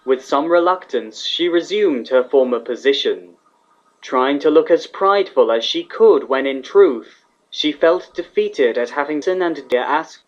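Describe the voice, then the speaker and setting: A man's voice: on the high side, animated, a little slow, dull audio. A man reading scripted fantasy fiction aloud as the narrator of a story.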